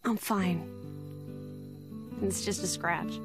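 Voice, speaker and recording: A woman playing a caring, modest young heroine in a video game, soft-spoken, polite and a little hesitant. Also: fairly low pitch, extremely slow. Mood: gentle concern. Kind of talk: dramatic video game acting. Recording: prominent music behind dialogue.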